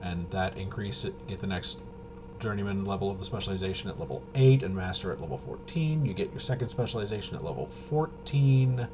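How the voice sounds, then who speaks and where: A man giving a casual, off-the-cuff rules walkthrough as a tabletop game reviewer, in a halting, mumbling way. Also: deep voice. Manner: halting, mumbling through half-read rules. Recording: clearly noisy home recording.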